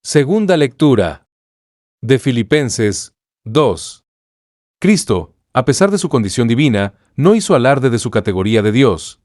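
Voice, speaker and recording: A man reading scripture aloud in a calm, even way. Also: mid-range voice, a little slow, no room sound, clean studio sound. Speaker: reader.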